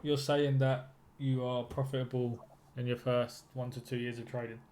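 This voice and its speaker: A man's voice: mid-range voice, completely flat delivery, normal speed, a little mellow, slight background noise. A man working as a trading mentor, asking probing questions and giving affirming feedback in a casual one-to-one coaching call.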